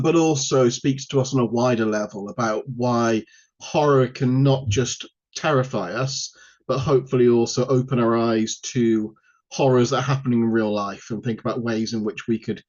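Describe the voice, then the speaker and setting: A man's voice: medium pitch, darkish tone. A man giving an informal academic talk as a guest lecturer, self-deprecating and prone to digressions. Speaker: university lecturer, guest speaker. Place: online video call. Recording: dull, lo-fi online call audio.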